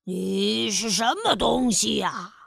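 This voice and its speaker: A woman voicing a big male lion, gruff but easily frightened, in a storybook reading. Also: medium pitch, takes it slowly, a bit bright, no room sound, studio quality, voice pushed down, heavily acted.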